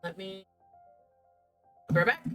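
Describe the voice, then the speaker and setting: A woman's voice: medium pitch, takes it slowly, faint music behind. A woman hosting a game livestream, chatting casually and playfully to herself and her viewers in a giggly manner. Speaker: game streamer, host.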